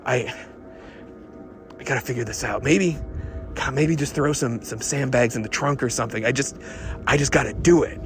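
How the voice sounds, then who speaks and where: A man acts out an unravelling car builder recording his late-night confessions. He talks in halting, stammering bursts, fixated and obsessive, as if in a private audio diary. Music runs under the voice. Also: mid-range voice, natural voice. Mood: weary obsession.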